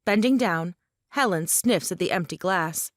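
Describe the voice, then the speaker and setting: A woman's voice: lowish voice, lively intonation, unhurried, dry recording, clean studio sound. A woman narrator reading scripted stage directions for a play.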